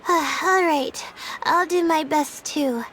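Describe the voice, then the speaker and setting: A woman's voice: high-pitched, drawn-out words, a bit bright, slight background noise, full character voice, poor audio. A woman voicing a timid, weary teenage student girl in an anime game, hesitant and meek. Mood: hesitant, earnest.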